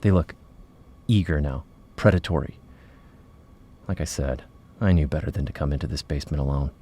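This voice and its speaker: A man's voice: rumbling low, normal speed, mellow, a little hiss or hum. A man playing an uneasy paranormal investigator, narrating his story confidingly in an audio drama. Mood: uneasy, suspenseful. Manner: confiding, suspenseful. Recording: faint music under narration.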